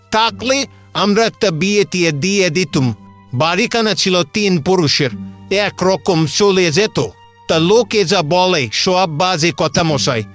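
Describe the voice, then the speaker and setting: A man's voice: high voice, a bit bright, voice pushed up. A man voicing a chatty, pushy middle-aged house seller who grumbles and wheedles in colloquial patter in a dramatized horror story. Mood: dismissive, gossiping. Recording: music behind the voice.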